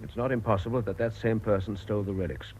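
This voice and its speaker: An actor playing a brisk, inquisitive organiser with a British accent and a dramatic, probing manner in a radio drama. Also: male voice, lowish voice, fairly even intonation, a little mellow, close to an everyday voice. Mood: decisive. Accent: British English. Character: brisk, inquisitive man in charge, urbane organiser. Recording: dull lo-fi radio recording with noise.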